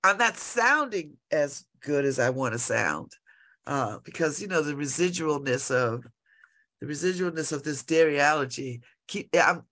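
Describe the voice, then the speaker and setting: A woman's voice: deep voice, lively intonation, normal speed, fairly bright tone. A congested woman hosting local radio, chatting and rambling with exclamations. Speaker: radio host. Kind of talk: casual solo talk on local radio.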